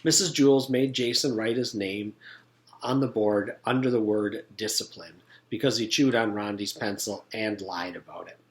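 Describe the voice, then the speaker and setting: A man's voice: fairly low pitch, fairly even intonation, moderate pace. A man narrating a children's story in a read-aloud style, as a teacher would for pupils.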